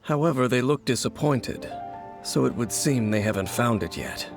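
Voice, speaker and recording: An actor playing a mysterious, grave wandering swordsman. He speaks in a measured and cryptic way. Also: mid-range voice, music in the background, lightly acted. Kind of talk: video game cutscene voice acting.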